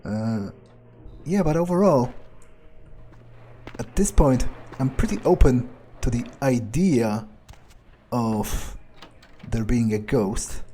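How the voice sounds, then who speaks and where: A man's voice: medium pitch, slow pace, pauses often, warm tone, a little hiss or hum. A man doing improvised in-character commentary on a game, thinking aloud in a nonchalant, hesitant way. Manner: nonchalant, musing, hesitant phrasing. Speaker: gamer doing an in-character playthrough.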